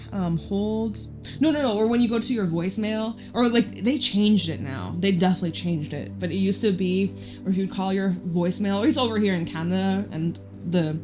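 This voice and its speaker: A woman giving a casual tarot reading in a loud, chatty voice, rambling off into side stories. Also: medium pitch. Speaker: tarot reader. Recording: guitar music playing behind. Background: guitar music.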